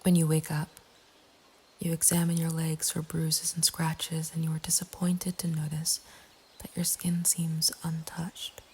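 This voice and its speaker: A woman narrating a scary story in a breathy, hushed ASMR whisper, slow and deliberate. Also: low-pitched, completely flat delivery. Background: nature ambience.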